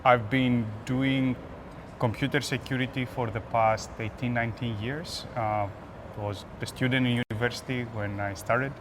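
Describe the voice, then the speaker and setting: A man with a Greek accent giving conversational interview answers as an expert guest, pausing between phrases. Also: mid-range voice, steady tone. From Greece. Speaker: guest, application security expert. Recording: noisy urban ambience behind voice.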